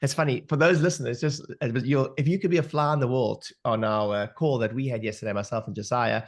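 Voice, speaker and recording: A man from South Africa with a South African accent, speaking as a guest on a webinar and telling stories in an animated way with many fillers over a video call. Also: mid-range voice, fast talker. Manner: animated, filler-heavy storytelling. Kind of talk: webinar talk.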